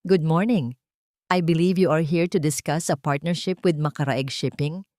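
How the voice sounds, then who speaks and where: An icy, regal wronged wife acted out by a woman narrator in an audio drama. She speaks low and formally, with cutting, emotionless control. The sound is dry. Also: moderate pace, dark tone, no echo, lightly acted. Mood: cold, formal.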